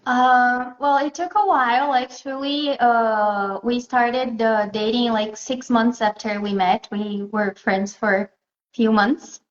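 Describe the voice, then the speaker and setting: Woman, interview guest, slow and hesitant speech, fairly high voice, echoey room, muffled recording. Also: steady tone. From Southeast Brazil. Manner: hesitant, pauses often to find words. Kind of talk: casual interview answers.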